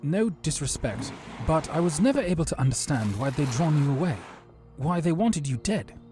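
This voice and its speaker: A man playing a dutiful, cautious military commander in a fantasy audio drama, speaking earnestly and gravely. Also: a little high, big pitch swings, normal speed, music in the background. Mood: troubled.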